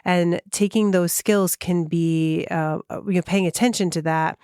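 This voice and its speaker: A woman's voice: lowish voice, fairly even intonation, measured pace, clear, resonant tone, dry recording, clean studio sound. A woman hosting a college career-services interview, agreeable and chatty, often hedging with fillers. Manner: warm agreeable listener, many fillers. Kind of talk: casual interview chat.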